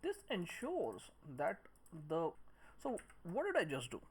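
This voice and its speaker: A man giving a step-by-step software tutorial, thinking aloud in short phrases as he works. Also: high-pitched, wildly swooping pitch, measured pace, husky. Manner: speaks in short phrases while working. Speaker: 3D modelling tutor.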